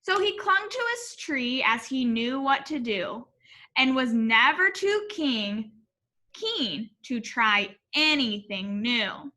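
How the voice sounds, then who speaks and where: A woman reading a picture book aloud in an animated, expressive way, as if to young children. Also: on the high side, slow pace. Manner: expressive, addressing young listeners.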